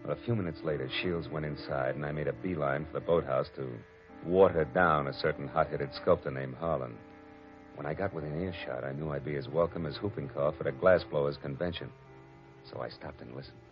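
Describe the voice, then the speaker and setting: A cynical male private eye with a very low voice, tossing off sardonic wisecracks in clipped phrases. It is a vintage radio detective drama, dull in fidelity, with music clearly behind the voice. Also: fairly quick. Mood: wry, tense narration. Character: hardboiled male private detective, wry, cynical, narrating his case. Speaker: lead actor and narrator.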